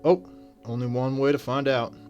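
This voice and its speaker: An actor playing a shrewd, polite detective in a radio drama, with folksy, measured questioning. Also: male voice, mid-range voice, little pitch movement, lo-fi sound, loud music behind the voice, natural voice. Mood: courteous, probing. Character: courteous, shrewd small-town detective, adult man.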